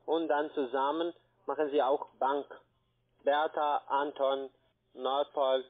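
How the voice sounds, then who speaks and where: A man with a foreign accent in German, a non-native speaker talking haltingly in broken grammar as a call centre agent. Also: mid-range voice, flat delivery. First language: not German. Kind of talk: halting phone sales talk. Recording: narrow tinny phone line.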